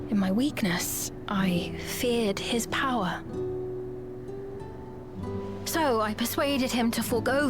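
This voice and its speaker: An actress playing a gentle, regal young queen in a video game, speaking formally and softly in measured phrases. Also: a little high. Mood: regretful. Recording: music behind the voice.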